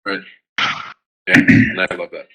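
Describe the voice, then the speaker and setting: A man's voice: unhurried, fairly bright tone, lo-fi sound. A man chatting casually as a panelist on a tabletop game podcast, his talk peppered with "like" and "you know". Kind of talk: casual chat.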